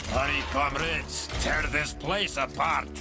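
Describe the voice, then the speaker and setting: A bossy demon henchman, serves an evil sorcerer in a fantasy game. He gives orders urgently in a fairly high male voice. Very loud music plays over him. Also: fairly even intonation, slow pace. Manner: urgent, commanding.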